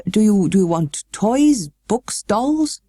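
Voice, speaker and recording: A woman voicing a weary, melancholy gentleman guardian for a storybook, kindly but stiff, with slow, halting, well-spoken delivery. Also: mid-range voice, expressive, no echo, studio quality, voice pushed up.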